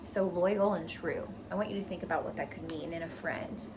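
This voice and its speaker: A woman teacher reading a children's story aloud expressively, pausing to explain things to young listeners. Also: on the low side, background noise.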